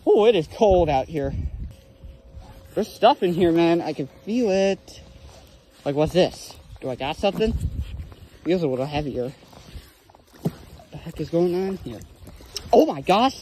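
Excitable young man outdoors on a dock. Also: high voice, a little hiss or hum. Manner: excitable, exclaims over finds. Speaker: magnet fishing vlogger. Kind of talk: excited casual commentary. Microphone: handheld phone or body camera.

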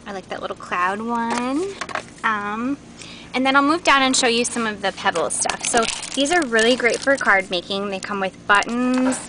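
A woman's voice: on the high side, normal speed, background noise. A woman giving a casual, unscripted product walkthrough as host, chatty and informal, on a handheld camera in a warehouse. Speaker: host showing new craft store products. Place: large indoor warehouse aisles. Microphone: handheld camera's built-in mic.

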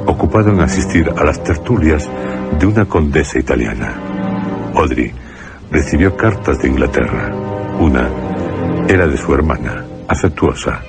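An actor narrating a gothic radio drama as a solemn storyteller, in measured short phrases. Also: male voice, a bass voice, expressive, creaky, over loud music, full character voice. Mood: solemn, ominous.

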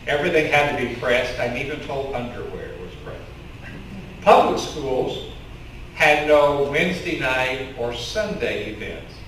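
A middle-aged man with a Kentucky accent preaches a relaxed, story-driven sermon at an unhurried pace. His voice sits a little high. The sound is caught from a distance in a very echoey sanctuary. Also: slight background noise. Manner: conversational storytelling preacher, gestures with open hands. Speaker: pastor. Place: church sanctuary in front of a curtain. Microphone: stand mic with headset mic, filmed from the congregation.

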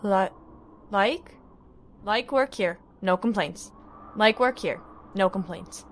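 An actress playing a timid, childlike factory worker in a radio horror drama, speaking in halting, broken phrases as if by rote. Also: female voice, medium pitch, a little hiss or hum, lightly acted. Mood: cowed, dazed. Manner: halting broken speech, flat rote repetition. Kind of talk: old-time radio horror drama acting.

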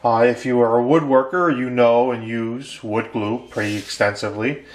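A man's voice: medium pitch, normal speed. A man hosting a casual woodworking how-to, talking loosely with lots of fillers and restarts from his workshop bench. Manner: relaxed, many fillers and restarts. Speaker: woodworking channel host.

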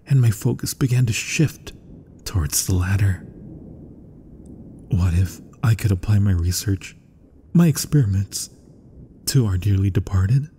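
A man playing a proud, obsessive mad scientist in a gothic horror ASMR roleplay, husky and breathy, speaking formally and theatrically and often dropping toward a whisper. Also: lowish voice, measured pace, pauses often, mellow, slight background noise, lightly acted. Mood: hushed, grave.

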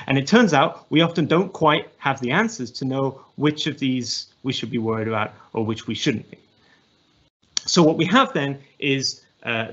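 A man in his thirties speaks with an English accent, presenting to a webinar audience over a video call. His voice is husky and mid-pitched, and he often restarts and fills pauses as he works through his points. The audio is slightly dull. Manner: thoughtful, many restarts and fillers. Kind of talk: academic presentation.

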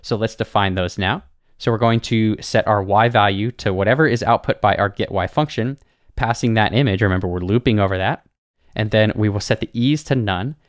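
Low-voiced man walking through code. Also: brisk, no room sound, clean studio sound. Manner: relaxed, explanatory, talks through each step. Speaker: web design tutor. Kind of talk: screen-recorded coding tutorial. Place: small home studio, indoors. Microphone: studio mic on boom arm.

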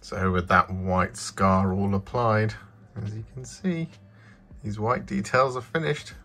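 A man's voice: fairly low pitch, fairly even intonation, slow pace, a bit bright. A man giving an instructional voice-over as the host of a painting tutorial. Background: background music.

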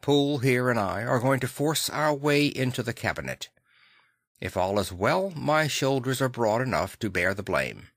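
A man's voice: medium pitch, animated, normal speed, a bit bright, no room sound, studio quality. A man voicing a grave, commanding Victorian lawyer in an audiobook, formal and decisive.

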